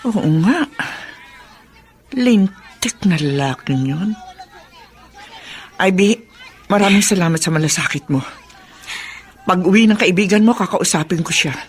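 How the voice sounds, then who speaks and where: A woman's voice: on the low side, a little slow, long pauses. An actress playing a protective, indignant middle-aged mother in a Filipino radio drama, speaking expressively. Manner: expressive, scolding and consoling.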